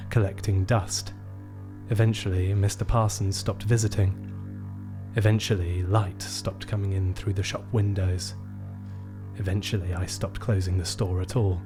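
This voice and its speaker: Man playing gloomy low-voiced shopkeeper narrator. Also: level tone, lightly acted. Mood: melancholy. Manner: melancholy, theatrical, measured storytelling. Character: lonely, gloomy male tuxedo shop owner narrating his tale. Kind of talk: scripted first-person radio play narration. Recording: music bed behind the voice.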